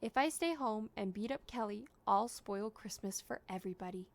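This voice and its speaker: A woman's voice: mid-range voice, normal speed, vocal fry, natural voice. A woman voicing a tearful, earnest little boy in a storybook, with a pleading, choked delivery. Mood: upset, pleading.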